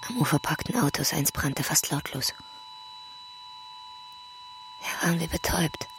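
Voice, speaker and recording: An actress in a radio drama playing a grieving woman who survived a bombing, telling it in a quiet, halting, hushed way. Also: low voice, expressive, noisy background. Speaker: woman recounting a wartime air raid.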